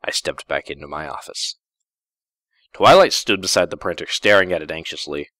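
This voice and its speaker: A man with a low voice narrates fiction in the first person. His delivery is measured and deliberate with a dramatic storytelling feel, and the recording is very dry. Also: lively intonation, pushed and bright, no echo.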